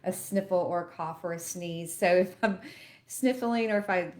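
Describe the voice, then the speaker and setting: A woman's voice: fairly low pitch, normal speed, darkish tone. A middle-aged woman, a loan officer chatting to camera and explaining things step by step, a bit sniffly from allergies. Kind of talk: casual educational talk to camera.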